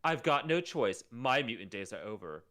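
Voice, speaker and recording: A man's voice: mid-range voice, sing-song, moderate pace, a bit bright, pitched below the performer's own voice. A man playing a bitter, defensive former mutant hero in a script read aloud. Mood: defensive bravado. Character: bitter, defensive ex-mutant man who gave up his wings.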